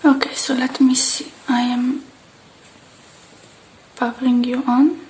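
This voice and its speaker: A woman with a fairly high, soft, breathy voice murmurs very slowly in an ASMR roleplay. She slips between quiet speech and whispering, gentle and unhurried. The close recording carries a faint noise. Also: little pitch movement, rough recording. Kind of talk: soft-spoken ASMR roleplay as a technician tending a robot.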